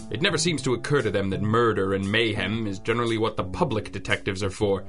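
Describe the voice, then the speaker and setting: A man acting as a hard-boiled detective with a low, forceful, cutting voice. He is weary and sardonic, tossing off wisecracks deadpan in the manner of an old-time radio drama. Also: natural voice.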